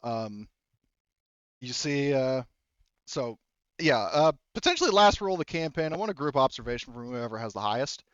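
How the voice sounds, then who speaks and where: A man of medium pitch runs a tabletop game. He rambles casually and haltingly through his descriptions, full of verbal tics. The sound is dry and a bit dull. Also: very animated, fairly bright tone, clear, resonant tone, no echo. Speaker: game master.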